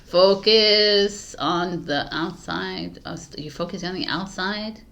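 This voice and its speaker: A woman's voice: lowish voice, slow, gravelly, fairly bright tone. A woman tarot reader chatting casually to camera, laughing at herself, restarting often and losing her voice. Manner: giggly, scattered, restarts often. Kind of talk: casual spiritual talk to camera.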